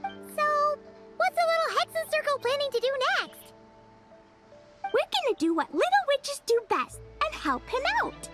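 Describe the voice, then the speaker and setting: An excitable little girl witch for a video game. The voice is tiny and extremely high, swinging brightly up and down with chirpy eagerness. Playful music runs loudly underneath. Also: measured pace, exaggerated voice. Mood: eager. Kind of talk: animated game voice acting. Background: loud playful children's music.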